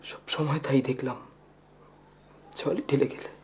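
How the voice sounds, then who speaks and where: An actor playing a frustrated, idealistic film director in dramatic dialogue, halting and repeating his words with emotion. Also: on the high side, a little mellow, lightly acted. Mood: bitter, wounded.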